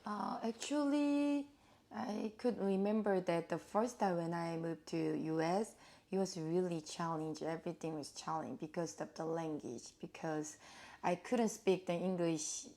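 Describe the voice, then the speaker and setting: A Korean-accented woman in her forties with a fairly low voice speaks in halting phrases full of fillers. Her first language is Korean, and she answers at home in a casual interview. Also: mellow. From South Korea. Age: 40s. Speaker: guest.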